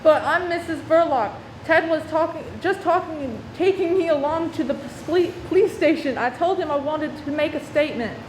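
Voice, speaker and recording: A woman's voice: high-pitched, moderate pace, hardly any pauses, darkish tone, lightly acted. A woman playing a distraught, despairing wife in a radio drama. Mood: anxious. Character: distraught, despairing young wife who has killed. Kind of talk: radio play acting. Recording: echoey room with faint noise.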